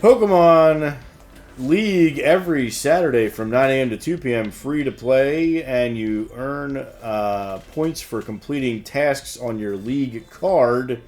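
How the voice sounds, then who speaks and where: Male co-host, informal promotional announcer with easygoing banter, mid-pitched voice, music underneath. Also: expressive, measured pace, a little mellow. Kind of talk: promotional store news with banter.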